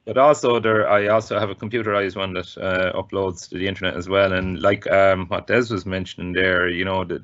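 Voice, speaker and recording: A man's voice: low voice, fairly even intonation, brisk, hardly any pauses, a bit bright, highs cut off. An Irish man chatting casually as a guest contributor, explaining things in a hesitant, conversational way. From Ireland. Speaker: guest contributor, volunteer weather observer.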